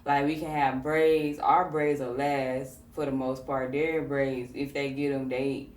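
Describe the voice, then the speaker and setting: A woman hosting a podcast, talking in a relaxed, conversational way with plenty of fillers. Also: rumbling low, a little slow, roomy sound, far from the mic. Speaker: podcast host. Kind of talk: casual conversation.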